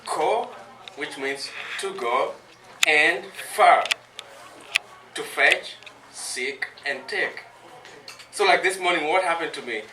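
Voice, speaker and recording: A man giving a live explanatory talk to an audience, teacherly and deliberate, in short separated phrases. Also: fairly high pitch. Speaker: guest speaker teaching a proverb. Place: live community event with an audience. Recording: echoey, distant, faintly noisy live recording.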